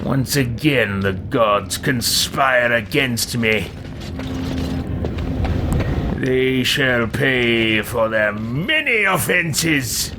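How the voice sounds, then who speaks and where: A man's voice: medium pitch, animated, extremely slow. A man roleplaying an imperious, pompous adventurer in theatrical, formal speech over a video game. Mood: indignant. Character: imperious, pompous villainous adventurer who expects servants. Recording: loud game music behind. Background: game music and sounds.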